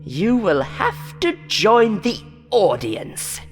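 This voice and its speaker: A woman's voice: mid-range voice, huge pitch swings, very slow, long reverb tail, soft background music, pitched above the performer's own voice, exaggerated voice. Woman voicing a mocking, sinister game show host monster. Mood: smug, taunting. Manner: taunting, condescending, theatrical showman patter. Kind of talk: horror audio story character voice.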